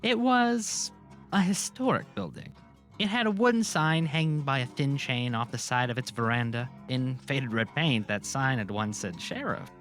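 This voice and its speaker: A man's voice: mid-range voice, moderate pace, fairly bright tone, soft background music. A man narrating a scripted audio drama in an informal, amateur reading style.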